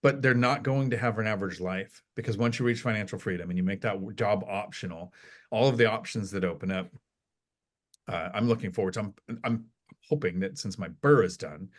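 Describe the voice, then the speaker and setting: A man's voice: fairly low pitch, fairly quick. A retired man chatting casually as a podcast guest, rambling and restarting his sentences often. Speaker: podcast guest, retired real estate investor.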